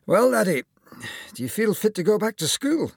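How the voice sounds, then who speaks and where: Man voicing a kindly older Scottish surgeon, higher-pitched. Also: very animated, normal speed, gravelly, breathy edge, no echo, clean studio sound, heavily acted. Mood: encouraging, firm. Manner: avuncular, encouraging.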